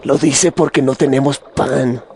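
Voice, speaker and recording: A man's voice: mid-range voice, steady tone, a little slow, darkish tone, slight background noise, close to an everyday voice. An actor playing a puzzled, doubtful male disciple in a dramatized scripture audio drama.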